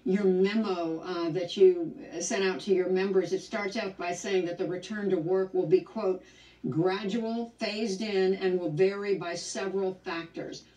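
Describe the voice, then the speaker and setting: A woman interviewing guests on a broadcast news program in a measured, formal manner. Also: mid-range voice, fairly even intonation, dark tone. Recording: dull roomy audio replayed from far off.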